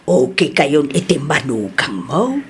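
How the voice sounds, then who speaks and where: A woman playing a proud, stubborn wife in an Ilocano family comedy drama, with a husky, emphatic delivery. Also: rumbling low, big pitch swings, unhurried, a little hiss or hum, heavily acted. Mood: conciliatory. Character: proud, stubborn, debt-dodging wife who softens.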